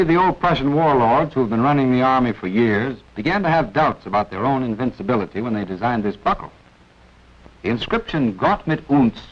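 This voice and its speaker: An American man narrating a 1940s military training film in an instructive, wry manner with deliberate emphasis. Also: mid-range voice, dull audio. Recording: band-limited 1940s film soundtrack.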